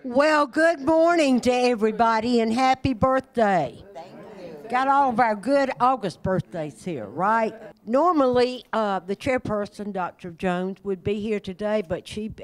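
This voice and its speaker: A woman's voice: mid-range voice, moderate pace. Older lady hosting a get-together, chatty, talking into a hand mic. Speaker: event host. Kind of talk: informal hosting of a senior gathering. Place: meeting room. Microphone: handheld microphone.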